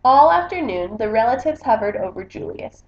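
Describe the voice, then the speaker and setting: Woman, expressive storybook read-aloud, high voice, very lively intonation, echoey room, slightly muffled. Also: moderate pace. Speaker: book reader.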